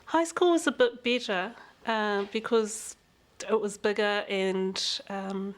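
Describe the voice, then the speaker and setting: A woman with a New Zealand accent, speaking candidly and reflectively as an interview guest, quick to laugh. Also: medium pitch. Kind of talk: frank personal conversation.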